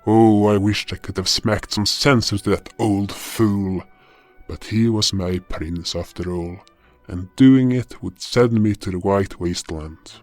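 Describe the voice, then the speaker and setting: Man as a low-voiced, grumbling frost guardian. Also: a little slow, a little mellow, no room sound, close to an everyday voice. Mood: grave, grumbling. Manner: dramatic, grumbling. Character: indignant, impulsive male frost guardian beast telling his tale. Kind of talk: first-person fantasy story narration and dialogue.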